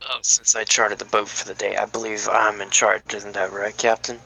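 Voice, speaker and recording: A man plays a sensible older guardian from a cartoon, patient and measured. The voice is fairly low, with a husky, forceful edge. It gently admonishes. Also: flat delivery, faint music behind, heavily acted, poor audio. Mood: firm.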